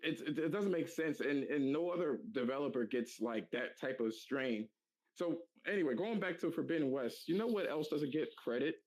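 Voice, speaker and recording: A man in casual gaming podcast chat, animated and profane, acting out other people's lines as he talks. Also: on the high side, moderate pace, low-quality recording. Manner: animated, profane, acts out quotes. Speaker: podcast co-host.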